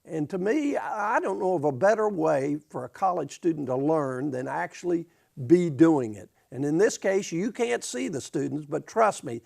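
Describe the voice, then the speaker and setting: A man hosting a university talk show, speaking in a halting way and restarting his sentences. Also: a little high, expressive, gravelly, a little mellow. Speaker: host. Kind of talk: campus TV talk show interview.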